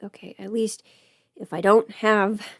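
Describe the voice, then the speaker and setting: A woman's voice: mid-range voice, flat delivery, unhurried, creaky, slightly rough, no room sound, clean studio sound. A woman who is sick, chatting casually on a livestream as host, thinking aloud and interrupting herself. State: sick at home with covid. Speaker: livestream host, audio creator. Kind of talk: casual rambling livestream chat. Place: at home.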